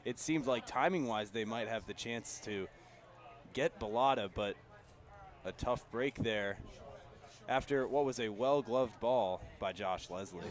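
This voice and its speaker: A man's voice: medium pitch, measured pace. A man giving live analytical color commentary on a baseball broadcast from an outdoor ballpark.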